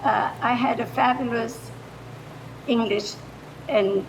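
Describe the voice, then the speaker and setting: An older woman with a German accent, speaking off the cuff in a halting way as a retired language teacher, in a noisy recording. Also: fairly even intonation. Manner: halting, hesitant delivery. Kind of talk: improvised public remarks. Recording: noisy room recording with low rumble.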